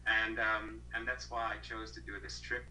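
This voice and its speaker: A man's voice: fairly low pitch, level tone, normal speed, pushed and bright, poor audio. A man in his thirties from Malaysia, a guest on an interview over a video call, talking thoughtfully with many fillers and restarts.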